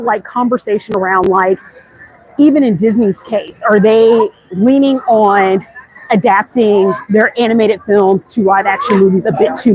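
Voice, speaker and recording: An American woman hosting a casual, chatty walk-and-talk livestream, conversational and speaking directly to her viewers. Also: mid-range voice. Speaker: livestream host. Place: outdoors walking through a busy theme park. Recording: outdoor ambient noise. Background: busy theme park ambience.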